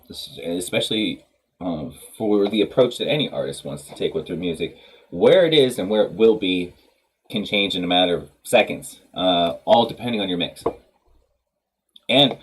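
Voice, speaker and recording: A man's voice: sits low, slightly rough. A man in his thirties hosting a music livestream from a home studio, talking in a rambling casual way with lots of ums, his nose stuffed up from a cold. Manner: rambling, many ums and restarts, laughs easily. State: congested from a cold. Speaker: livestream host of a music workshop.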